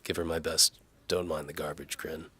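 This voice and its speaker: A man's voice: a bass voice, little pitch movement, moderate pace, pushed and bright, breathy edge, full character voice. A man playing a sardonic, world-weary bow for hire, narrating his own story in noir audio drama style.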